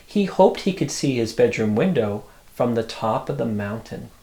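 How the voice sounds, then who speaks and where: A man's voice: medium pitch, lively intonation, moderate pace, warm tone, echoey room. A middle-aged man reading picture books aloud as a storyteller, adding asides full of childlike wonder. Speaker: storyteller, host.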